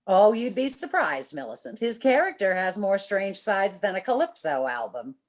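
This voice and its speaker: A woman playing a long-suffering, teasing wife with dry wit and a gently nagging manner in an old-time radio comedy. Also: medium pitch, very animated, normal speed. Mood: sociable, teasing. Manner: polite, gently nagging, wry.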